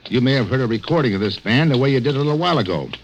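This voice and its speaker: An actor playing a tough, streetwise police detective in a 1940s radio crime drama, clipped, commanding and slangy. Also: male voice, mid-range voice, animated, rapid-fire, muffled recording, slight background noise, close to an everyday voice. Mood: reasoning, steady. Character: tough, streetwise adult police detective, brusque but loyal. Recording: vintage 1940s radio recording with music behind.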